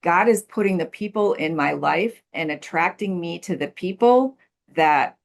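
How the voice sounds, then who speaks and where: Low gravelly woman in her sixties. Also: expressive, a little slow. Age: 60s. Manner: earnest, thoughtful, repeats words while searching for them. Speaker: podcast guest, life coach. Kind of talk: reflective interview answers. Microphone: video call.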